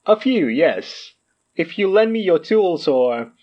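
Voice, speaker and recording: A man's voice: fairly high pitch, very animated, takes it slowly, slight background noise. A man playing a courteous, smug mystic guru in a horror audio drama, with a genteel, theatrical manner. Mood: curious, intrigued. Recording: slightly dull sound.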